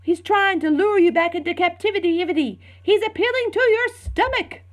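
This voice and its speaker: A woman voicing a bossy, excitable female farm goose in a storybook reading, shrill and repeating herself. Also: a little fast. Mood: warning.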